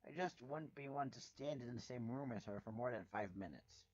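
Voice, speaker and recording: A man reading fan fiction aloud as a dramatic first-person narrator, with a wry, expressive delivery. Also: mid-range voice, fairly quick, breathy edge, dry recording, dull audio.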